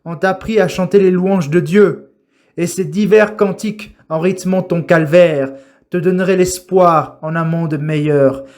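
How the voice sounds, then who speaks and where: A man reciting a poem in a solemn, dramatic style, with declamatory, measured phrasing and deliberate pauses. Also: high voice, fairly even intonation, darkish tone. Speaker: poetry reciter.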